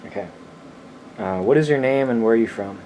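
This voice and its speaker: A man's voice: medium pitch, a little fast, a little mellow, a little hiss or hum, low-quality recording. A man acting as interviewer, speaking plainly as he puts questions to people.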